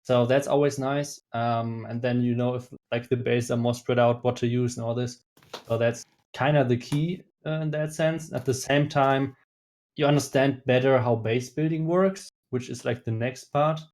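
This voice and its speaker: A man with a German accent, a native German speaker, explaining his choices in a calm, measured, step-by-step way in casual chat. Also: medium pitch. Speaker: guest player.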